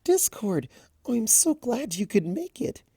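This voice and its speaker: A man voicing a shy, gentle young female pegasus pony in a cartoon fan story, soft, breathy and hesitant. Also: mid-range voice, very animated, a little slow, no room sound, studio quality, pitched above the performer's own voice, exaggerated voice. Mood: delighted. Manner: hesitant, stammering.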